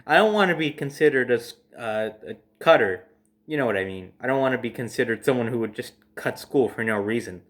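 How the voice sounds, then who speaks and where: A young adult man telling a casual personal story as host, in a dramatic, emphatic manner with drawn-out phrases. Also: mid-range voice, expressive.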